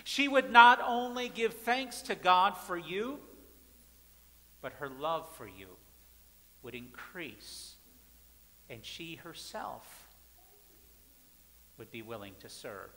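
High-voiced pastor preaching in a reverberant church. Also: male voice, expressive, slow, pauses often, slight background noise. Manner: emphatic preaching, repeating phrases, swings between loud and soft. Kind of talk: sermon. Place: large church sanctuary. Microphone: pulpit mic, far camera.

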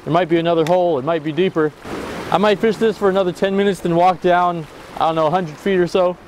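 A young man vlogging while fishing from a rocky sea jetty, explaining his rig casually and exclaiming loudly when fish bite. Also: high voice, fairly even intonation, fast. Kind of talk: casual solo vlog narration. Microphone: action camera, near and far. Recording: outdoor wind and water noise.

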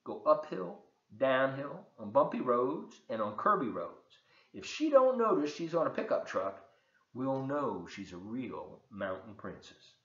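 A man plays a brusque mountain mama from a storybook, scheming and sure of herself. The speech is commanding and folksy, with big swings in pitch. The sound is roomy and a little dull. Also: sing-song, measured pace, a bit bright. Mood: bossy, firm. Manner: commanding, rustic phrasing.

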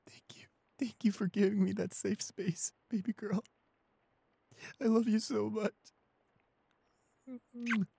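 Mellow, shy young boyfriend, acted by a man. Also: high-pitched, fairly even intonation, takes it slowly, vocal fry, long pauses, breathy edge, no room sound, full character voice. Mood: tender, shaky. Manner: soft, hesitant, stammering. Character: shy, anxious, clumsy, devoted young boyfriend. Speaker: audio roleplay voice actor. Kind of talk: intimate boyfriend roleplay audio. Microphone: close studio condenser microphone.

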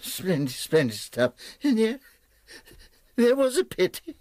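An actor playing a frail, confused old collector who rambles eagerly, in a radio drama. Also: male voice, sits high, sing-song, measured pace, a little mellow, dry recording, clean studio sound. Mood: pleading. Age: older. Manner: rambling, eager, breaking into laughter. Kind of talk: 1990s radio drama acting.

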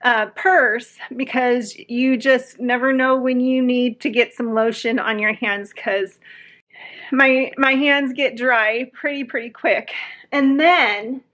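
Slow chatty woman in her 40s, phone at arm's length at home, kinda high voice, rambles a lot. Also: steady tone, fairly bright tone. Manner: friendly, rambling with fillers and restarts. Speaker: home decor vlogger. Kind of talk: casual chatty vlog. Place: living room at home, indoors. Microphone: phone camera at arm's length.

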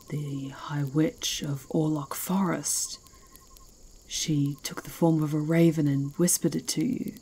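A woman playing a fierce, mocking werewolf chieftain in an ASMR roleplay, speaking in a slow, taunting, imperious way. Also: sits low, darkish tone, breathy edge, exaggerated voice. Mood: skeptical, scornful.

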